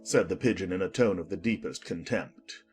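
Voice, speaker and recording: A man narrating a scripted audiobook story in an expressive manner. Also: low voice, normal speed, soft background music.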